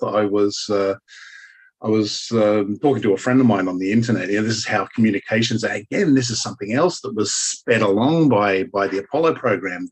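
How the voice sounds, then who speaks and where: Husky Australian man telling stories. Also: fairly low pitch, rough recording. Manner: rambling storyteller, many restarts. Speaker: guest. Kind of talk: casual conversation. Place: lives in Germany.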